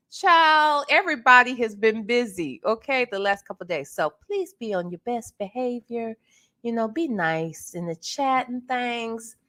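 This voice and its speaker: A woman hosting a casual livestream, chatting with her viewers while sounding congested and clearing her throat now and then. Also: on the high side, sharp tone. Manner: chatty, greets viewers by name. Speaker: livestream host.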